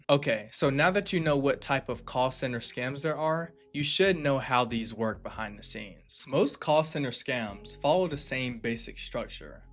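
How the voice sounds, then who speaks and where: A man hosting and narrating a scripted explainer in an emphatic, deliberate way, stressing key phrases. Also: mid-range voice, fairly bright tone. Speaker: video narrator and host. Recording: music bed under the voice. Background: background music.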